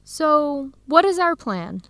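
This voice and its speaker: An enthusiastic young American researcher in a radio drama. Her voice is high and very lively, warm and friendly in manner, recorded dry and close. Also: slow, dry recording, exaggerated voice. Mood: excited, warm. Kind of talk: educational radio drama acting.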